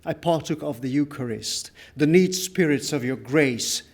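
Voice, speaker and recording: A man giving a dramatic literary reading of a first-person account, solemn and deliberate, with weighty pauses. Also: on the high side. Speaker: reader at a book launch.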